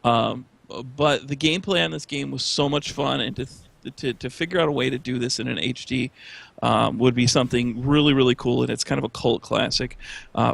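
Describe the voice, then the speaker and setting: A man talking casually on a discussion panel, halting and repeating words as he works toward his point. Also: mid-range voice, vocal fry, a bit bright, dry recording. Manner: halting, stammering with repeated false starts.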